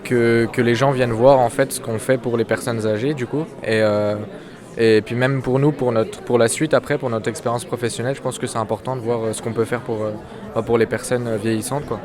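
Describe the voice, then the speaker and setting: A man's voice: mid-range voice, fairly even intonation, brisk. An eighteen-year-old man, a student, answering interview questions in a casual, spontaneous way. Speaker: social work student being interviewed. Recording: outdoors amid busy crowd chatter. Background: crowd chatter outdoors.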